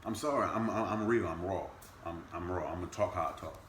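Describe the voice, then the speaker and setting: A man in his thirties, co-hosting a podcast in casual chat with his partner, animated and jumping up high in pitch when he teases. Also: fast talker, reverberant, slight background noise. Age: 30s. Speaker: podcast co-host.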